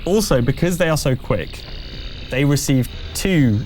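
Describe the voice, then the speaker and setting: A man narrating as game master of a tabletop horror game, improvising and explaining rules with halting pauses. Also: medium pitch, animated, darkish tone, a little hiss or hum.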